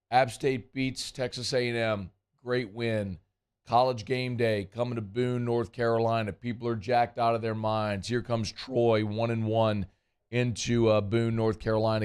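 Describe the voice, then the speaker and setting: A man's voice: mid-range voice, a little mellow, smooth. A man hosting casual sports talk radio, chatty and rambling, his voice worn and strained. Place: radio studio.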